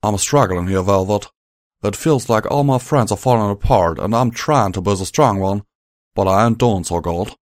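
A man voicing a rough-spoken young male pony with a drawl, strained and emotional. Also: lowish voice, normal speed, no echo, studio quality, natural voice.

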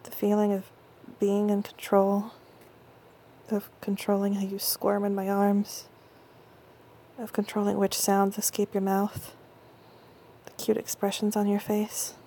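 A young woman playing an affectionate, intense girlfriend in an intimate audio roleplay, speaking close to the mic in soft whispers that break into stammers. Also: medium pitch, completely flat delivery, a little slow, pauses often, a little hiss or hum, close to an everyday voice. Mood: tender, conflicted.

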